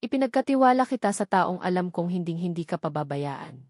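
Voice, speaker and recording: A woman's voice: lowish voice, animated, brisk, darkish tone, dry recording, clean studio sound. A woman with a Filipino accent voicing a loving, dying father's earnest, apologetic letter to a daughter in an audio drama. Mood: apologetic, tender.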